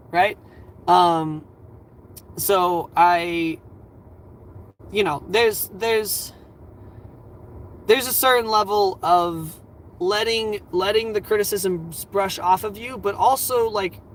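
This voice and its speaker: A man in his twenties hosting a livestream, giving earnest advice in a rambling, halting way full of fillers, his voice a little scratchy and tired. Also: high voice, fairly bright tone. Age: 20s. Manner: earnest, reassuring. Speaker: livestream host.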